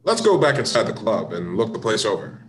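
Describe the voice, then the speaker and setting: An actor playing a polite, probing American police inspector with measured, courteous questioning in a radio play. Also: male voice, fairly low pitch, very animated, a crowd in the background. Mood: businesslike. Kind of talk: radio play detective dialogue.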